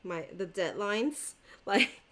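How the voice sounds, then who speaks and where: A woman's voice: medium pitch, lively intonation, slow, a bit bright. A woman whose first language is Chinese, chatting casually and rambling as she shows her planners, laughing often. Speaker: stationery vlogger. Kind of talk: casual chat showing planners.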